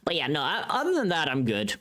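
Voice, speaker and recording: This is a livestreamer in casual chat with viewers. The delivery is very animated, with pitch jumping up and down around a middle register. The talk is playful and teasing, with plenty of swearing. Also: quick pace.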